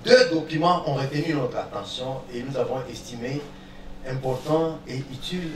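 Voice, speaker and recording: A man's voice: mid-range voice, normal speed. Echoey, distant man presenting courtroom evidence. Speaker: military prosecutor in court. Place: large indoor courtroom. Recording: echoey courtroom, distant mic, faint noise.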